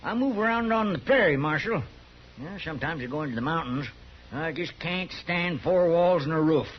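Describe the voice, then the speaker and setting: An actor playing a stubborn old prairie drifter with hesitant, folksy frontier speech in a 1950s radio Western. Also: male voice, a little high, lively intonation, normal speed, natural voice. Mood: wry, reserved. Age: older. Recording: dull, noisy 1950s radio recording.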